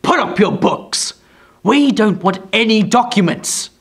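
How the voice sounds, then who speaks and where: A man's voice: high-pitched, expressive, a little slow, creaky, slightly rough, pitched above the performer's own voice, exaggerated voice. A man voicing a spiteful, scheming dwarf who sneers and hisses his words with contempt. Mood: sneering.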